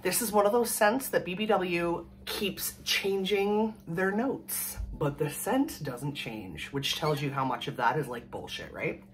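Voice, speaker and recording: A woman in her thirties from Canada, hoarse from a cold, chatting casually and rambling to the camera at home. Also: fairly low pitch, lively intonation, unhurried, few pauses, slight background noise. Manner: rambling, chatty, laughs often. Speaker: vlogger. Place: small room at home, indoors. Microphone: camera in front at desk distance.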